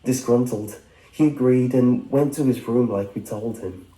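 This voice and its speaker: A man's voice: medium pitch, unhurried, warm tone. A man reading a scripted first-person horror story as its narrator. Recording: roomy echo under music. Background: music.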